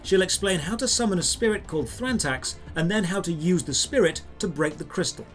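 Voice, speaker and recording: Higher-pitched man narrating a game guide. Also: expressive, fairly quick. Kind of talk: scripted step-by-step tutorial narration. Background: background music.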